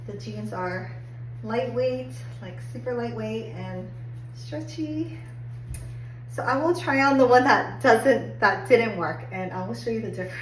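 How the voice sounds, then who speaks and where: Hoarse woman chatting in an echoey room. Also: a little high, expressive, a little slow. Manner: chatty, upbeat, many "like"s. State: hoarse, getting over a cold. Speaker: fashion haul vlogger. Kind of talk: casual try-on review. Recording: echoey room, distant mic, faint hum.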